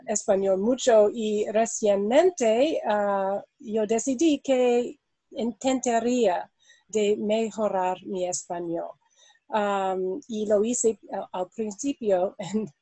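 Slow, hesitant woman learning Spanish. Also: mid-range voice, warm tone, no echo, rough recording. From India. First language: not Spanish. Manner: slow, hesitant, many ums and ahs. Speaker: host. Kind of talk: interview, reading prepared questions then chatting.

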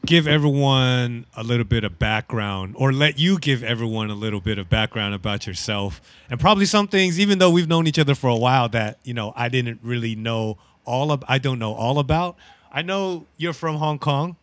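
A man's voice: mid-range voice, animated, moderate pace. A man hosting a casual interview, asking easygoing conversational questions. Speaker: host.

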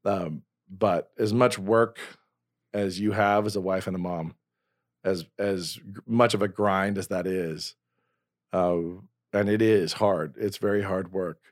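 A man's voice: low voice, fairly even intonation, measured pace, no echo, clean studio sound. A man in his forties, a podcast host and novelist, talking in an earnest, rambling way with many restarts. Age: 40s. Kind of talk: casual conversation.

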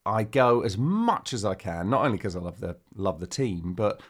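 A man in his forties, a podcast co-host, telling an animated story in casual chat and acting out what other people said. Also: on the low side, normal speed.

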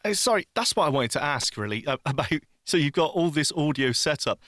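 A man's voice: a little high, sing-song, brisk, fairly bright tone, no echo, studio quality. A man co-hosting a podcast, cracking teasing quips in casual chat. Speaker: podcast co-host.

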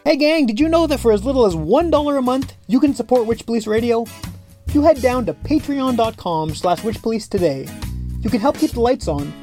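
A podcast host gives a lively promotional read, asking listeners to support the show and thanking patrons. Music plays clearly underneath the voice. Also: medium pitch, fairly quick. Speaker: podcast host reading ads.

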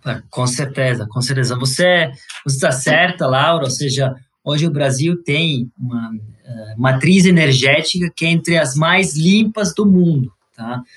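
A man with an Italian accent and a slightly high voice speaks as an interview guest. He is a native Italian speaker from Sicily who explains things conversationally, checking in with frequent tag questions. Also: unhurried, a bit bright, breathy edge. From Sicily, Italy. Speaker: guest, corporate venture executive.

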